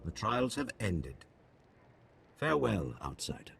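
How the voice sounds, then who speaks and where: A solemn, cryptic alien mystic in a video game. The very low voice swings widely in pitch as it pronounces like an oracle. It sounds distant under clear music. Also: male voice, very animated, heavily acted. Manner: slow-spoken, oracular pronouncements.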